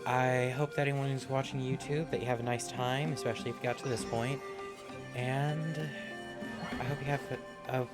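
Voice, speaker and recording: Casual male streamer over loud music. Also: medium pitch, steady tone, normal speed. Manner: blunt, sweary, repeats himself. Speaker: game livestreamer. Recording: loud game music behind voice.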